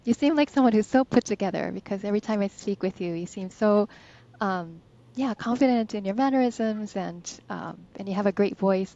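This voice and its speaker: A woman's voice: mid-range voice, mellow, lo-fi sound. A woman hosting an interview, asking questions with many fillers and encouraging replies. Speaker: podcast host. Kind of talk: interviewing a guest.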